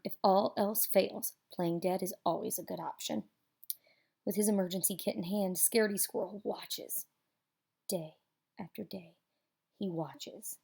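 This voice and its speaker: A woman reads a children's picture book aloud in an expressive, theatrical way, with dramatic pauses and emphasis. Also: fairly low pitch, vocal fry, husky.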